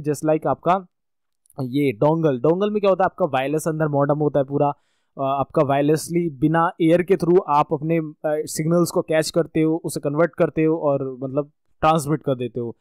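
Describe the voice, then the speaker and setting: A man's voice: fairly high pitch, a little mellow, no room sound. A man with an Indian accent giving an informal explanatory lecture as an instructor, often rephrasing his points and checking that the listener follows. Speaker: networking course instructor.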